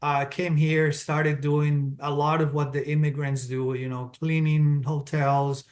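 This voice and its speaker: A middle-aged man with a Colombian accent and a fairly high voice, talking as a podcast guest. He is steady and businesslike, and he walks through his points one by one. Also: unhurried. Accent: Colombian Spanish. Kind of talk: interview answers, explaining step by step.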